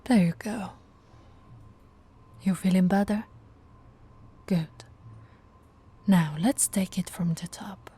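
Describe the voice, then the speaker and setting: Policewoman played by a woman, patient and teasing, soft conversational delivery, unhurried pace, intimate ASMR roleplay. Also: medium pitch, husky. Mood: gentle, coaxing. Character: flirty, patient, teasing policewoman reassuring a suspect.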